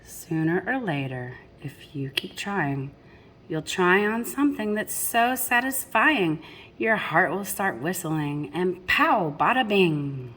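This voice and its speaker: Woman doing storytime for little kids, really unhurried and chatty, slightly low voice. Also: animated, fairly bright tone, slight background noise. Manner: addresses young listeners with questions and explanations. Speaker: storybook reader. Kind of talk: expressive children's picture book read-aloud with chatty asides.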